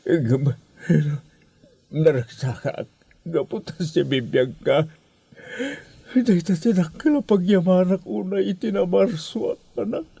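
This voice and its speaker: Male actor as old Ilocano father, slow and halting, dark tone, emotional radio drama. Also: high-pitched, gravelly, husky, no room sound, full character voice. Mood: tender, sorrowful. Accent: Ilocano Filipino. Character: emotional elderly father of a jailed daughter.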